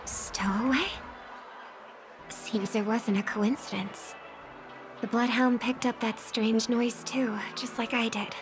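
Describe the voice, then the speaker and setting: A woman voicing a gentle, worried young singer and devoted little sister in a game, speaking softly and pensively. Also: fairly high pitch, steady tone, slow. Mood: wary, curious. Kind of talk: game voice acting, soft dramatic dialogue. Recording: background music under the voice.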